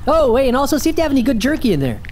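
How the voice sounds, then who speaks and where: A woman's voice: high voice, big pitch swings, very fast, darkish tone, a little hiss or hum. A woman playing a polite, nervous young man about to turn twenty-one in an audio drama. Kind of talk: radio horror drama.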